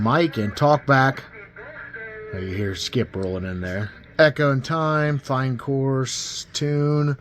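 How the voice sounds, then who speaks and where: A man giving a casual, informal hands-on demonstration of gear, pointing things out step by step. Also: fairly low pitch. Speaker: CB radio enthusiast demonstrating gear. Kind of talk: casual hands-on product demo. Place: indoors at a radio bench.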